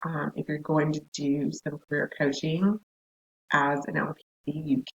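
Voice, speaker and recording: A hoarse woman with a scratchy, gravelly voice lectures a counseling class. She talks in a chatty way and breaks off into asides. The recording sounds dull and lo-fi. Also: sits low, little pitch movement, moderate pace. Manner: chatty, laughs, self-interrupting asides. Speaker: counseling instructor.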